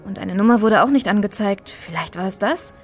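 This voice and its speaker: Sardonic, sharp-tongued woman sleuth, acted by a woman. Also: medium pitch, animated, a little fast, soft background music, natural voice. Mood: pensive. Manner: sardonic, probing. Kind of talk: German audio drama dialogue.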